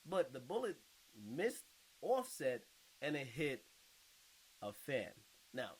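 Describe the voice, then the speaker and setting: A man's voice: on the high side, slightly rough, rough recording. A man giving casual reaction commentary in a blunt, mocking, slangy way, as if talking straight to his viewers.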